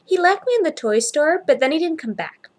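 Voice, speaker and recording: A woman's voice: high voice, sing-song, brisk, darkish tone, voice pushed up. A woman voicing an earnest, chatty four-year-old boy in a story, with simple, childlike run-on sentences. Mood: upset, plaintive.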